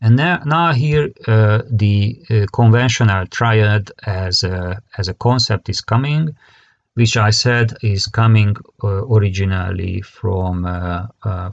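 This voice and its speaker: A man with a Hungarian accent giving an academic conference lecture in halting, hesitant phrasing that often repeats words. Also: on the low side, gravelly, muffled recording. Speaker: conference presenter, career guidance expert.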